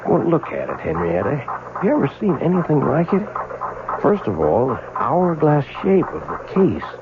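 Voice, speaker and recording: An actor playing an older, puzzled, dutiful clockmaker husband in an old-time radio drama, both narrating and speaking in scenes. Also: male voice, fairly high pitch, wildly swooping pitch, normal speed, noisy background. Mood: puzzled, defensive. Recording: narrow-band vintage radio, music behind.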